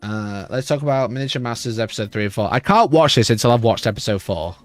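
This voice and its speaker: Hoarse man, rambling stream chat. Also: medium pitch, expressive, quick pace, no room sound, soft background music. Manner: rambling, restarts mid-sentence. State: rough, hoarse voice. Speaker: livestream host. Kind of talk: casual livestream chat.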